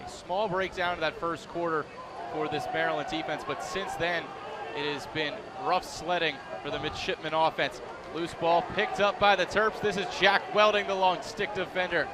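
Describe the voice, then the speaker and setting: A young American man does live sports play-by-play in a fairly high voice. His delivery is energetic and polished for broadcast. A loud crowd and clear background noise sit behind him. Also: moderate pace. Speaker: play-by-play announcer. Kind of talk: live lacrosse sports commentary. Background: crowd and outdoor stadium ambience.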